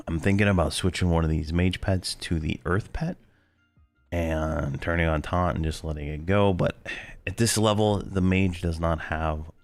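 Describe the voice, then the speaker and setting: A man commentating his own gameplay in a rambling, laid-back way, full of fillers, his voice hoarse from losing it. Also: deep voice, fairly even intonation, normal speed, no room sound, soft background music. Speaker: game video host.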